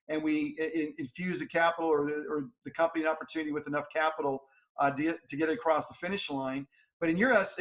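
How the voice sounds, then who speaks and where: A middle-aged man hosting an interview over a video call, upbeat and filling pauses with "uh". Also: on the high side, a bit bright. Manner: upbeat, many "uh" fillers. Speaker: podcast host. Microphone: webcam on video call.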